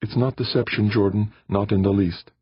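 A man doing an earnest alien woman, raised high. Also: fairly even intonation, normal speed, husky, no echo, lo-fi sound. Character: gentle loving young alien woman.

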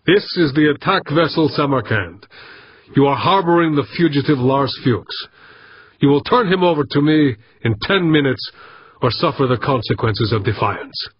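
A man's voice: medium pitch, lively intonation, normal speed, slightly rough, no room sound, lo-fi sound. A man voicing a menacing male attack-ship commander in an audiobook, guttural, commanding and threatening.

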